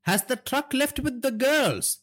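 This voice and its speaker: A man voicing a hot-tempered older Indian crime boss father in an audiobook, gruff, coarse and domineering. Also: very high voice, animated, measured pace, a bit bright, pitched above the performer's own voice, heavily acted. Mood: commanding. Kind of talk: audiobook character dialogue.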